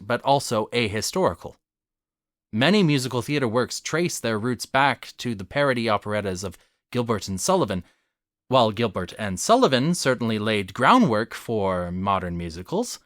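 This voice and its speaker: Man reading a formal essay, lively intonation. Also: mid-range voice, dry recording, studio quality. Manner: articulate, formal, expository. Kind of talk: scripted essay narration.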